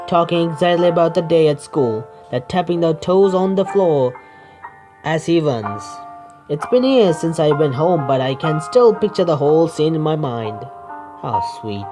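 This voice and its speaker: A man doing a game playthrough, reading the narration aloud haltingly and adding his own asides. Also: a little high, lively intonation. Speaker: gaming video creator. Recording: music behind the voice.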